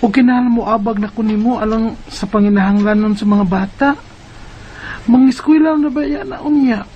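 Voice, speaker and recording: A woman's voice: fairly high pitch, fairly quick, warm tone, a little hiss or hum, natural voice. An actress playing a weary, determined young mother who pleads and insists in a radio drama. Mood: pleading. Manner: insistent, persuading. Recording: dull lo-fi radio drama recording.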